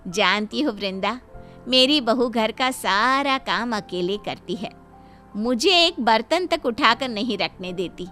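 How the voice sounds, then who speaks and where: A woman voicing proud, sharp-tongued middle-aged aunties in a story, effusive and pointed. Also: fairly high pitch, lively intonation, slow pace, exaggerated voice. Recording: music behind the voice.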